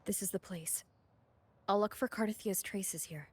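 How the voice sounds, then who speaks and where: A woman's voice: medium pitch, normal speed, husky, lightly acted. A woman playing a reserved, serious female wanderer in a video game, speaking in terse, restrained lines. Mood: quiet, focused. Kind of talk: scripted video game dialogue.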